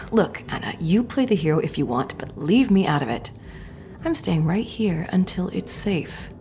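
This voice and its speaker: An actress playing a wary, sardonic female thief who grumbles in a hushed, gruff way in a fantasy audio drama. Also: lowish voice, animated, measured pace, slight background noise. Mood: sardonic, defensive.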